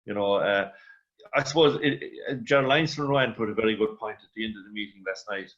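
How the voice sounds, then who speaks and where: A man giving measured sports analysis on the radio, often saying "you know". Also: lowish voice, fairly bright tone. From Ireland. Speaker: sports journalist, panel guest. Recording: dull lo-fi radio audio.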